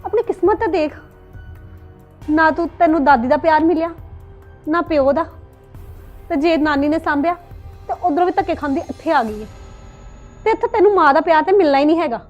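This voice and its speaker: A woman's voice: sits high, a little fast, long pauses, dull audio, soft background music, lightly acted. A woman playing a bitter, anguished young mother in a domestic melodrama, reproachful and defiant. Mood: sorrowful. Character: bitter, anguished young mother rejecting her crying baby daughter. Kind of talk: melodramatic domestic drama acting.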